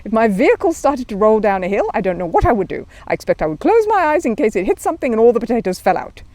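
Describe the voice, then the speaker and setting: A woman's voice: on the high side, very animated, quick pace, darkish tone, dry recording. Woman voicing an earnest, emphatic little storybook bear. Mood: earnest, matter-of-fact. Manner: earnest, literal-minded, emphatic. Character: polite, earnest, easily indignant young male bear. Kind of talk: children's storybook character reading.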